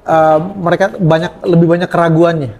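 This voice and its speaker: A chatty man leads a casual cafe interview, his voice sitting on the higher side. He keeps nudging things along with short affirmations, and a faint hiss of background noise sits under him. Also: fairly even intonation, a little fast, gravelly, darkish tone. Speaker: food vlogger interviewing a cafe chef.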